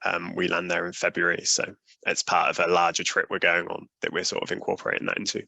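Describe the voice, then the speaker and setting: Flat, gravelly-voiced man. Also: low-pitched, quick pace, no room sound. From United Kingdom. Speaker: recruiter, panel guest. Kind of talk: casual conversation.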